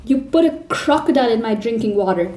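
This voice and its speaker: A woman voicing a furious, bullying headmistress who shouts and rants, recorded in a roomy space from a distance. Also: mid-range voice, normal speed, lightly acted. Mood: raging. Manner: shouting, loud and harsh.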